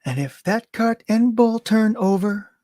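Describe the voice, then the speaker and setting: A man's voice: sits high, lively intonation, a little slow, mellow, no room sound, clean studio sound, pitched above the performer's own voice. A man voicing an eerie young mother who croons a lullaby in a sing-song way. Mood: sing-song crooning.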